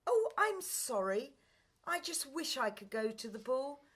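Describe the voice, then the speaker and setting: A woman's voice: high voice, sing-song, measured pace, a bit bright, breathy edge, pitched above the performer's own voice, exaggerated voice. A woman playing a wistful, polite young girl in a musical radio play.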